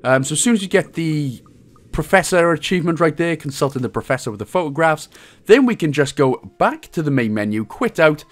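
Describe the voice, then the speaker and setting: Lively Welsh man, higher-pitched voice. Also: a little fast. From Wales. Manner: jokey, cheeky asides. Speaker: video game guide host. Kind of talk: casual walkthrough commentary.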